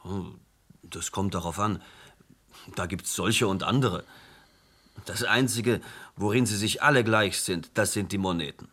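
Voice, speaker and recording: A man's voice: on the low side, measured pace, pauses often, fairly bright tone. An actor playing a blunt man who insists that his sister did not take her own life, in a radio drama. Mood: defensive. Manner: curt, insistent. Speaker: brother of the dead woman.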